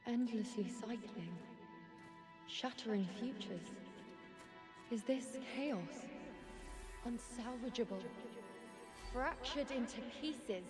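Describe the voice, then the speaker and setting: An actress playing a cryptic, solemn woman in a video game, speaking in measured, portentous phrases. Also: fairly high pitch. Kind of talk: scripted video game voice acting. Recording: game music over the voice. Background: game music.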